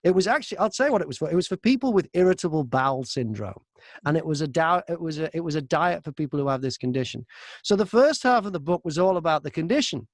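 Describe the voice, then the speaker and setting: Male interview guest, high-pitched, chatty storyteller, very dry close sound. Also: sing-song, fast talker, no echo. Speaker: audiobook narrator and voice-over artist, interview guest. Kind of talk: casual interview anecdotes. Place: inside a wardrobe used as a home booth.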